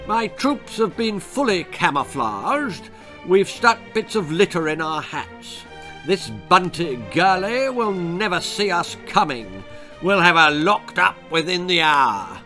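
A man voicing a blustering, overconfident army colonel in a storybook, shouting pompously. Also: high-pitched, very animated, slow pace, soft background music, voice pushed up, full character voice. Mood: blustering, confident.

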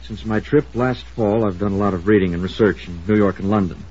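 A man's voice: lowish voice, brisk, darkish tone, breathy edge. An actor playing an earnest, stubborn young man in his twenties, formal and polite, in 1950s radio drama style. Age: 20s. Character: earnest, defiant wealthy young nephew, an archaeologist. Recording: muffled old radio recording.

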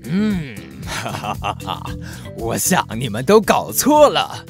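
Blustery old grandpa shark, Taiwanese Mandarin. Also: male voice, medium pitch, big pitch swings, slow pace. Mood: jolly, insistent. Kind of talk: children's cartoon dialogue. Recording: music behind.

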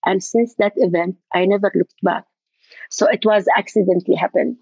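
An older woman from Jordan talks through an interview haltingly, pausing to find her words. Her voice sits at a middle pitch. It comes over a dull, muffled line in a dry, close sound. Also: fairly even intonation, darkish tone, breathy edge, no echo. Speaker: event designer, guest. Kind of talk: interview conversation.

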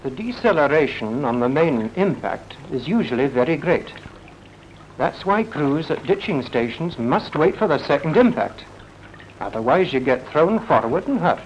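RAF officer, male, brisk and assured, slightly high lively voice, clipped didactic delivery, hissy vintage film soundtrack. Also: dull audio. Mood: assured, instructive. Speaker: RAF instructor in an instructional film. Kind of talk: 1940s instructional film acting. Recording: noisy 1940s film soundtrack.

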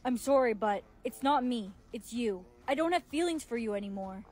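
A high-voiced woman playing a blunt young sweetheart, dramatic and exaggerated. The pitch swoops about in animated comedy-skit delivery. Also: normal speed. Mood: blunt, awkward.

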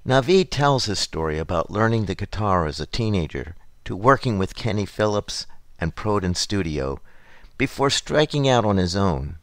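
A man hosting an interview podcast, reading a formal introduction in a measured way and asking questions. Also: on the low side, sing-song, no echo. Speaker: podcast host. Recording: dry close sound.

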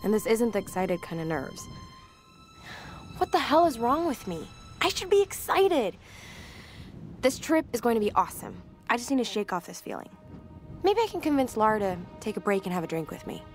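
A young woman playing a spirited expedition filmmaker, confiding in a casual, expressive diary for a video game. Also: on the high side, moderate pace, soft background music. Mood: uneasy. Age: young adult. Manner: conversational, candid, expressive.

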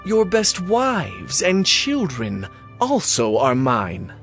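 A man's voice: on the high side, wildly swooping pitch, takes it slowly, faint music behind, exaggerated voice. An actor playing a royal messenger who proclaims a conquering king's demands in an imperious, declamatory way for an audio Bible drama.

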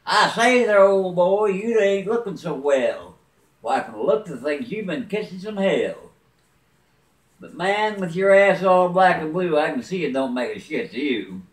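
A man voicing a cheeky, boastful male monkey who taunts in high, mocking sing-song, recorded in a roomy space from a distance. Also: sing-song, unhurried. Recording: roomy, mic far off.

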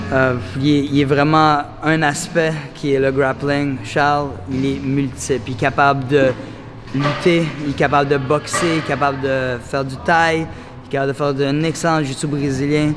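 A man who coaches MMA, talking casually and searching for words, mixing in some English. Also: medium pitch. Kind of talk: casual sports talk, interview and coaching. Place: large indoor MMA gym. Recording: noisy gym ambience.

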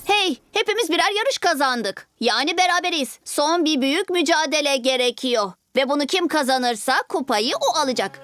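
A woman's voice: high voice, expressive, normal speed, hardly any pauses, fairly bright tone. A woman voicing an eager, earnest six-year-old boy superhero in a cartoon dub. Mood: resolved. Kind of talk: animated series dub acting. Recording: music behind the voice.

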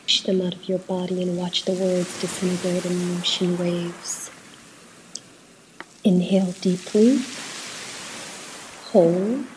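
A woman leading a guided meditation in a soft, at times breathy voice, soothing and unhurried, with pauses between short instructions. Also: fairly low pitch, a little hiss or hum, poor audio.

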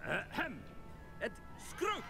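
A pompous, flustered headmaster in a video game blusters and stalls, clearing his throat. His voice is high and very lively in pitch. Game music plays behind. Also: takes it slowly, exaggerated voice.

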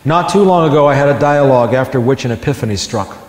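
A man's voice: medium pitch, lively intonation, fast talker, a little mellow. Impassioned man reading in an echoey hall. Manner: impassioned, building to emphatic peaks. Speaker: public speaker. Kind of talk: live talk reading his essay to an audience.

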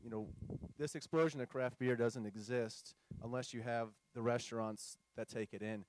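A man's voice: medium pitch, steady tone, normal speed, a little mellow, no echo. A man on a discussion panel, talking about brewing, with many fillers and repeated words. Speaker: brewery panelist.

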